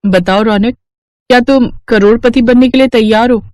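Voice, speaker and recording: A woman's voice: a little high, fairly even intonation, moderate pace, darkish tone, no room sound, close to an everyday voice. A woman playing a determined, ambitious young woman from a poor family in a cartoon love story, bold and insistent. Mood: earnest, determined.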